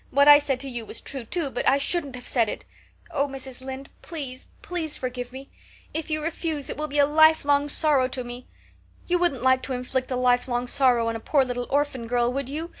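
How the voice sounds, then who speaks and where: A woman's voice: mid-range voice, natural voice. A woman voicing a young, impulsive and imaginative orphan girl who speaks in a theatrical, tremulous, pleading way.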